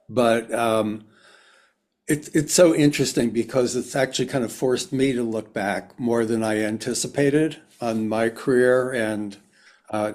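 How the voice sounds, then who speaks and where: Casual male host, medium pitch. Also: a little mellow. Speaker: co-host and moderator. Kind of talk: casual moderating and chat.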